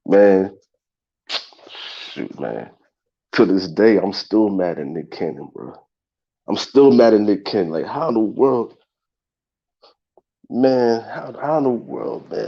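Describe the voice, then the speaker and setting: A man in his thirties hosting a casual solo talk show, congested, with a loose, repetitive way of talking straight to his listeners. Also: sits low. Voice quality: gravelly and husky. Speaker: podcast host. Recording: dull lo-fi sound.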